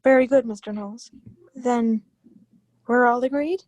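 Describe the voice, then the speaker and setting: A woman acts out a proper, self-righteous jury forewoman in a radio drama. Her voice is fairly high and closely recorded with a dry sound, and her delivery is formal. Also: steady tone, unhurried, a little mellow, no echo, close to an everyday voice. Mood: self-assured. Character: guilty, self-righteous society woman serving as jury foreman. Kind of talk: radio play acting.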